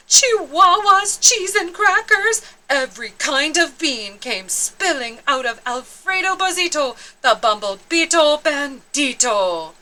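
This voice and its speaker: A woman's voice: on the high side, pushed and bright. A woman narrating a children's storybook expressively, with theatrical, dramatic emphasis.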